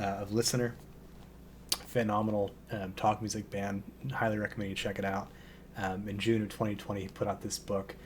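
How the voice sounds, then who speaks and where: Hoarse, hesitant man reading poetry. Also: on the low side, slight background noise. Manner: hesitant, many ums and restarts. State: slightly hoarse voice. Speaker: poetry channel host. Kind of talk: casual intro and poetry reading.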